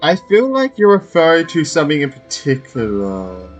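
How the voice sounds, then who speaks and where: A man's voice: a little high, huge pitch swings, normal speed, highs cut off. A man hosting a gaming video, giving casual play-along commentary in short, offhand reactions. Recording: faint game music behind.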